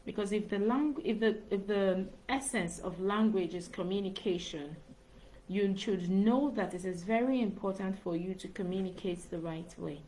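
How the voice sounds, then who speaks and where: A woman's voice: medium pitch, moderate pace, darkish tone, rough recording. A woman, a speaking coach talking live into her laptop microphone in an earnest way and repeating phrases for emphasis. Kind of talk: live-stream monologue.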